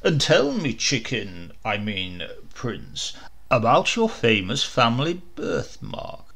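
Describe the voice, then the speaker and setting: A booming, jovial knight prince mocks a bragging bird in a comic storybook, played by a man. His voice is gravelly and bright, and the teasing lines rise and fall widely. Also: huge pitch swings, takes it slowly, heavily acted.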